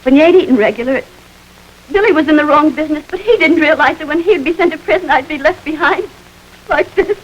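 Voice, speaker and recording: An actress playing a bitter, worn-down, poor wife of a convict, plaintive and slangy, in old-time radio drama. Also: female voice, sits high, fairly quick, muffled recording, natural voice. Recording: noisy vintage radio recording.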